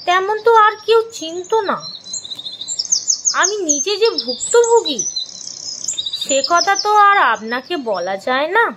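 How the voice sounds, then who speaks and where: A woman playing a sorrowful, gently reproachful widow in an audio story drama, speaking deliberately with emotive pauses. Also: extremely high pitch, sing-song, noisy background, exaggerated voice. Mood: pained confession. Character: sorrowful young widow, gentle yet reproachful, confessing long suffering.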